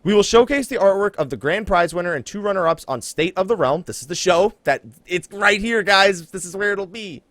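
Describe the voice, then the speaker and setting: A man's voice: high-pitched, animated, fairly quick, hardly any pauses, fairly bright tone, no echo. An American man hosting a casual gaming podcast, talking informally and joking as he makes announcements. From New Jersey, USA. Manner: jokey, informal, breaks off to laugh and ad-lib. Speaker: podcast host.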